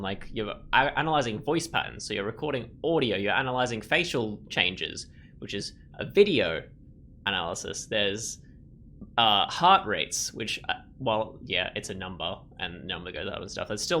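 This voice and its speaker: Bright-voiced man giving casual game commentary. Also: lowish voice, a little hiss or hum. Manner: thinking aloud, halting. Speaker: gaming video creator. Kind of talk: casual let's play commentary.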